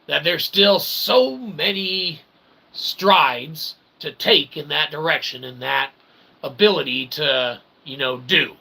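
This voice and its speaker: A man's voice: on the high side, takes it slowly, vocal fry, pushed and bright, slight background noise. A man delivering a tongue-in-cheek, rambling philosophical monologue as a channel host, in wordy, convoluted phrasing with frequent check-ins.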